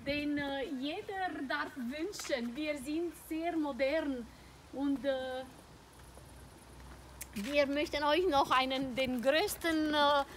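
A woman's voice: sits high, slow pace, fairly bright tone, slight background noise, poor audio. A middle-aged woman, not a native German speaker, giving an improvised tour of a school playground with many fillers and self-corrections, recorded outdoors on a phone. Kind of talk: improvised walking presentation.

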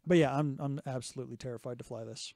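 A man in his thirties, slightly hoarse, co-hosting a casual hobby podcast and explaining projects step by step. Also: medium pitch, fairly even intonation, fast talker, dark tone, no echo. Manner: chatty, explains step by step with restarts. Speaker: podcast co-host. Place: small home workshop indoors. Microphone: studio mic on boom arm, close. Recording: dry close podcast mic.

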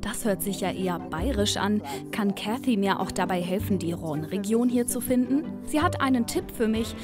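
Young woman, reporter voice-over, mid pitch, chatty tone, music underneath. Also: lively intonation, normal speed. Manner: light, chatty narration. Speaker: reporter narrating. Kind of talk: scripted TV voice-over narration. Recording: voice-over with clear background music.

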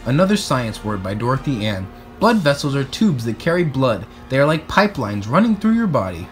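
A man reading aloud to children in an expressive, playful storybook style. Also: mid-range voice, fairly quick, soft background music. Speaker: storybook reader. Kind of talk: animated read-aloud narration for children.

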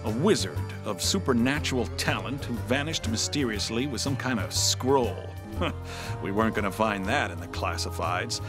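An actor playing a wry, deadpan private detective in a comic noir audio drama, with music behind him. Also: male voice, fairly low pitch, moderate pace, close to an everyday voice. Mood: wry, brooding. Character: maladjusted hardboiled male private detective, wry and slow on uptake.

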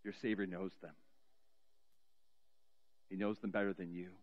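A middle-aged man preaching as a pastor in a church sanctuary, telling a story earnestly and slowing down with soft, trailing endings. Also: low voice, little pitch movement, lo-fi sound. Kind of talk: sermon storytelling and spoken prayer. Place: large church sanctuary, indoors. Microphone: cheek headset mic.

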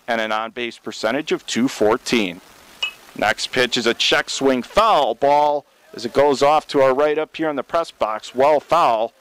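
Clear-voiced American baseball play-by-play man. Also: medium pitch, a bit bright, rough recording. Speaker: baseball radio play-by-play announcer. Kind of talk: live sports radio play-by-play commentary. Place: press box at a baseball stadium.

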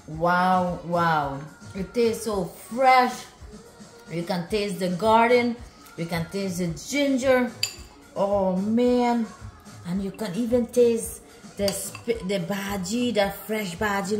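A woman's voice: medium pitch, takes it slowly, long pauses, reverberant, faint music behind. A middle-aged woman chatting casually as a food vlog host, often repeating herself as she exclaims over the food. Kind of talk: casual cooking vlog chat. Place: home kitchen, indoors.

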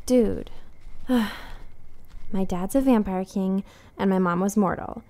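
A woman's voice: mid-range voice, slow, warm tone. A woman playing a blunt, determined young undead half-vampire in an audio drama, casual and sardonic. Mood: weary, frank. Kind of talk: naturalistic audio drama acting.

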